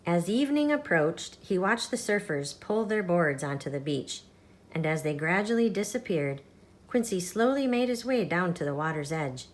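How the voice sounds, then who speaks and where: A woman with a slightly low voice reads a picture book for children. She tells it expressively and at a measured storyteller's pace, as the regular reader of a storytime show. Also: expressive.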